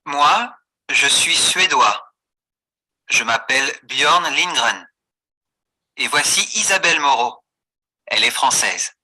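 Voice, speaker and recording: A man voicing a scripted beginner dialogue for a French textbook, slow and clearly articulated. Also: medium pitch, animated, bright, forceful tone, low-quality recording. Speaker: voice on course audio.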